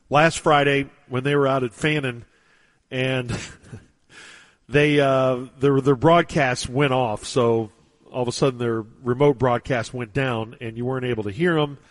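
Guy hosting sports radio, laid back, laughs a lot. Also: mid-range voice. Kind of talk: casual radio banter.

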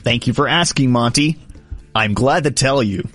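A man's voice: medium pitch, normal speed, a bit bright, soft background music. A man hosting a radio talk segment, teasing a co-worker in a mock-formal way. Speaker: radio sports talk host. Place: radio studio.

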